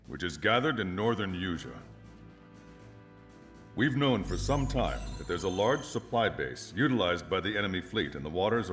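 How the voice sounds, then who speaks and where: Deep, formal military briefing officer. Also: male voice. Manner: formal, measured. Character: composed, authoritative male air force briefing officer. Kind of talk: scripted military briefing in a video game.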